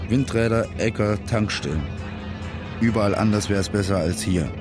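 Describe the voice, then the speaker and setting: A man's voice: fairly low pitch, normal speed, music in the background, natural voice. An actor playing a brooding, disaffected man who narrates his wish to escape in terse inner monologue for a radio play. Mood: weary, restless.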